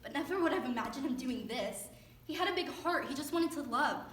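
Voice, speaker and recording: A woman's voice: sits high, lively intonation, brisk, fairly bright tone. A young woman playing a grieving, guilt-ridden mother in a staged dramatic monologue. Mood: pained, defensive. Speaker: student performer in readers theater. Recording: distant echoey stage recording, faint noise.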